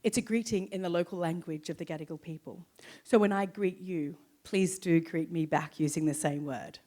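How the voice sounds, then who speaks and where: An Australian woman giving a ceremonial welcome from a stage, speaking warmly and inviting the audience to join in. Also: lowish voice, moderate pace. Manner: warm, inviting, encourages the crowd to join in. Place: stage in a hall.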